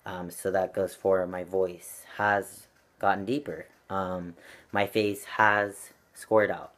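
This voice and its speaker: A man's voice: low-pitched, level tone, slow pace, a bit bright. A young man talking earnestly and reflectively to camera at home, with frequent ums and likes. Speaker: channel vlogger. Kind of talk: casual talk to camera. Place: at home with his cat.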